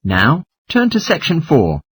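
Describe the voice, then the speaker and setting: A man reading scripted listening test dialogue and lecture in a formal, measured, articulate way, with a slightly husky voice. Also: a little high, huge pitch swings, a bit bright, dry recording, clean studio sound, full character voice.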